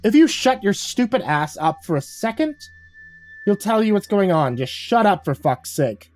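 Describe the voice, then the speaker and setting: Man voicing a sharp-tongued woman, pitched up. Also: very animated, moderate pace, faint music behind. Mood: exasperated. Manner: blunt, scolding, profane. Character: sharp-tongued, impatient, tough woman warrior.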